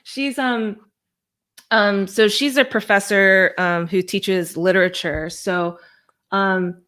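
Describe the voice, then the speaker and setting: A woman's voice: mid-range voice, steady tone, slow pace, fairly bright tone. A woman from Hong Kong chatting casually as an interview guest, hesitant and self-effacing, thinking aloud. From Hong Kong, born in the US. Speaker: guest puppeteer and storyteller.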